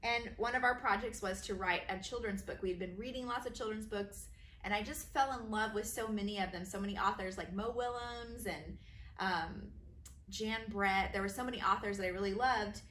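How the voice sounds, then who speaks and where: A woman teacher reading children's stories aloud in an expressive, sing-song way for young listeners. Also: medium pitch, moderate pace. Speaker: kindergarten teacher reading her own stories. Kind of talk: animated children's storybook reading. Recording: roomy echo, faint background noise.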